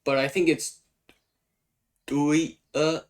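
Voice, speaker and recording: Slow, hesitant man with a Danish accent. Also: a little high, little pitch movement. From Denmark. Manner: hesitant, thinking aloud and translating on the fly. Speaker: YouTube host explaining his invented language. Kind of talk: casual spoken explainer.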